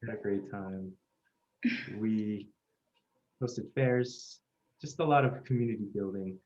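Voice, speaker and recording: A man's voice: fairly low pitch, takes it slowly, darkish tone. A man in his thirties from South Korea, telling his story earnestly and steadily in an oral history interview. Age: 30s. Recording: muffled lo-fi recording.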